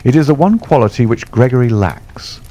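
A man's voice: mid-range voice, animated, moderate pace, warm tone, voice pushed up, lightly acted. A man playing a cool, assured detective. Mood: assured calm. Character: cool, confident male detective, sharp-witted.

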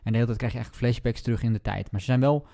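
A man's voice: mid-range voice, racing, gravelly, dark tone. A man co-hosting a podcast in a casual discussion about books. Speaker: podcast co-host.

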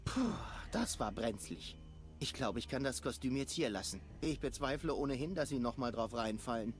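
A man voicing a lively cocky young game hero. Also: mid-range voice, normal speed, lightly acted. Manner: lively, self-assured quips. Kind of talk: video game voice acting. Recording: game audio over background music.

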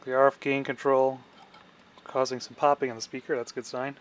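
A man's voice: medium pitch, flat delivery, brisk. A man giving a casual technical demonstration, thinking aloud as he works. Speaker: radio restorer narrating his own repair. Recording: clear background noise.

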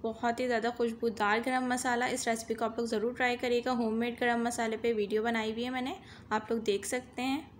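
High-voiced woman narrating a home recipe. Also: little pitch movement, brisk, slight background noise. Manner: instructive, repeats "dekhiye" to guide viewers. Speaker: cooking channel host. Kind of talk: step-by-step cooking voice-over.

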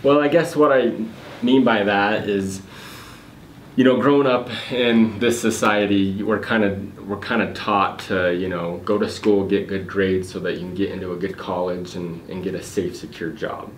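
A man's voice: sits low, fairly even intonation, normal speed. A man in his thirties being interviewed in an office meeting room, talking casually with many fillers and restarts. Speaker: guest, real estate investor. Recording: echoey room, faint noise.

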